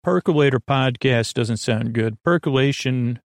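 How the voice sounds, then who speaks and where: A man with a mid-pitched, very creaky voice rambles as a sleep podcast host. He is soothing and lulling, drifting between tangents and trailing off mid-sentence. The sound is dry, with no room around it. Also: moderate pace, dry recording. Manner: meandering, tangential, trailing off and restarting.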